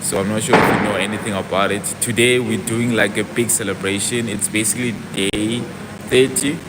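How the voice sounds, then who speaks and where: A young man talks casually on a fitness livestream, his voice sitting on the lower side. He keeps breaking off to answer viewer comments before picking his thought back up. A clear hum of air conditioning and passing vehicles runs underneath. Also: steady tone, fairly quick. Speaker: fitness vlogger.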